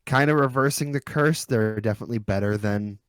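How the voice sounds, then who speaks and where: A man's voice: fairly low pitch, a little fast, clear, resonant tone, no room sound, clean studio sound. A man chatting casually as a podcast co-host, with wry, joking asides. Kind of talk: casual group chat about pop culture.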